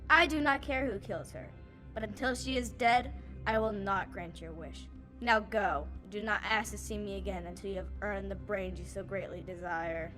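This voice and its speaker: A woman's voice: mid-range voice, moderate pace, bright, forceful tone, faint music behind, close to an everyday voice. A woman in an audio play playing a regal, imperious winged lady, speaking formally. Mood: stern, bargaining. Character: regal winged fairy-like lady, great and terrible Oz. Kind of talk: audio play acting before a live audience.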